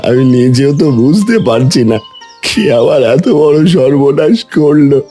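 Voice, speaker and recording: A man's voice: mid-range voice, animated, moderate pace, mellow, faint music behind, close to an everyday voice. A man voicing a middle-aged village hotel owner in a cartoon, stammering and lamenting his ruin.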